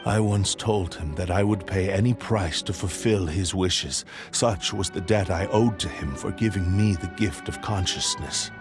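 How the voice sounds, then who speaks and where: Deep, slow, solemn blade spirit. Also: male voice. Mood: regretful, somber. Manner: solemn, formal, measured. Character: remorseful sentient cursed blade spirit, male, grave and devoted. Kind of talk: video game story acting. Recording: music behind the voice.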